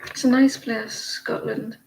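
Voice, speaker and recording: Woman, 40s, northeast English accent, casual tarot reading, rambling chatty delivery, slightly high voice. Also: flat delivery, unhurried, gravelly, background noise. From northeast England. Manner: rambling, chatty, many fillers and restarts. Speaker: tarot reader.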